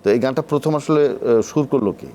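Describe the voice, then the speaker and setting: A man with a Bangladeshi accent and a dark, very mellow voice of medium pitch. He interviews conversationally as a host, prompting with questions and echoing back short phrases. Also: a little fast, a little hiss or hum.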